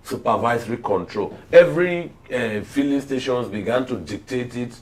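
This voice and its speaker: A man with a Nigerian accent giving political commentary on a TV panel in measured, oratorical phrasing. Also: mid-range voice, lively intonation.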